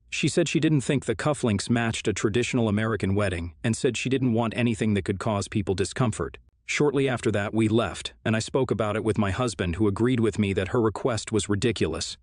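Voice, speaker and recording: A man narrating online forum stories aloud in a steady, even-toned reading. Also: lowish voice, no echo, clean studio sound.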